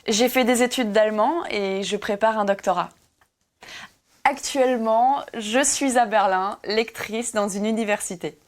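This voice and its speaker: An actress playing a 25-year-old doctoral student and university lecturer introducing herself. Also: female voice, on the high side, unhurried, close to an everyday voice. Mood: composed. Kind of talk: scripted self-introduction.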